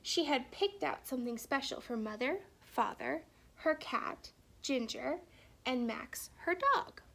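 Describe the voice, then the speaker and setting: A woman reading a children's story aloud in an expressive, animated storytelling manner with hushed, dramatic phrasing. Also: sits high, measured pace, creaky. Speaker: teacher or reader reading a children's picture book aloud.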